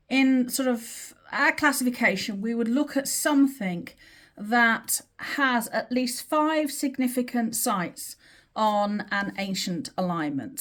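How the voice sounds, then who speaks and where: A woman teaching in an informal, explanatory way, with measured, emphatic phrasing and pauses as she talks through a drawing. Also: a little high, a bit bright. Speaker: teacher of earth energy dowsing.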